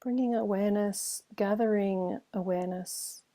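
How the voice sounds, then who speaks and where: Soft mellow woman guiding meditation. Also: mid-range voice, fairly even intonation. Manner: slow, gentle, soothing delivery with long pauses. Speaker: meditation teacher. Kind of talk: guided meditation.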